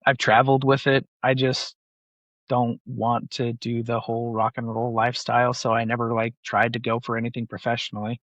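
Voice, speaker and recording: Forties guy from utah, bright punchy voice, rambly and full of fillers, dry kinda muffled audio. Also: medium pitch, flat delivery, brisk, no room sound. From Utah, USA. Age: 40s. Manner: rambling, filler-heavy, many "like"s. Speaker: banker, guest. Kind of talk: casual interview chat.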